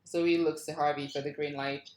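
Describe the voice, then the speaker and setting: A woman's voice: low voice, level tone, normal speed, a little mellow. A woman reading screenplay stage directions as a narrator at a table read. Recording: echoey room.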